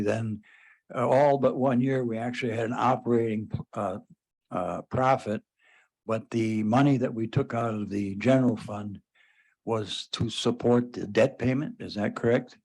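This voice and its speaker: A man runs a public county board meeting in a loud, ordinary mid-range voice. He pauses often on "uh" as he works through each point. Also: mid-range voice, steady tone, poor audio. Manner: halting, frequent "uh" fillers. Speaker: board chairman.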